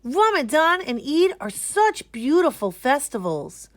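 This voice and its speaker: Woman putting on excited, high-pitched little kids. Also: unhurried, no echo, studio quality. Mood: delighted. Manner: exclamatory, bubbly, eager. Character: excited, curious young children exclaiming happily. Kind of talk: children's picture book read-aloud.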